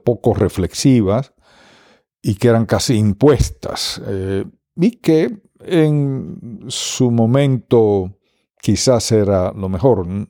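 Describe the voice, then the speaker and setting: Dominican man, veteran radio voice, slow and mellow, frequent pauses. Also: on the low side, no room sound, clean studio sound. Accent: Dominican Spanish, mostly neutralized. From Dominican Republic. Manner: unhurried, many pauses and fillers. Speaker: radio broadcaster, interview guest. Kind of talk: reflective interview answers.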